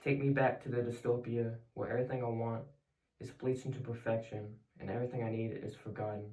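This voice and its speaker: Teenage male, student poet, reciting, little pitch movement, distant echoing room. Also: flat delivery, fast, warm tone. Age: teens. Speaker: high school student poet. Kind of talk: reciting own poem at a reading. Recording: roomy echo, distant mic.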